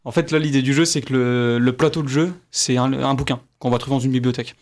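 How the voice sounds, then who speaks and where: A man speaks quickly in an ordinary mid-pitched voice. He is a panelist on a board game show, explaining how things work in a casual, chatty way. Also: steady tone, a little mellow.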